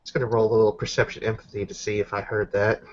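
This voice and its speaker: A man's voice: on the low side, level tone, fast. A man describing scenes in a tabletop roleplaying game in casual chat, on a muffled recording. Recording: dull, muffled lo-fi sound.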